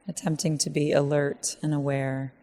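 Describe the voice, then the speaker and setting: Low, mellow woman guiding meditation. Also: flat delivery. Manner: gentle, measured, with long pauses. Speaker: meditation guide. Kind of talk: guided meditation.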